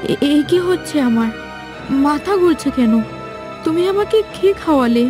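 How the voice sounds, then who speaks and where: A woman voicing a trusting, puzzled young girl in a fairy-tale cartoon, with a soft, wondering manner. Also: high-pitched, expressive, normal speed, over music. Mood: alarmed.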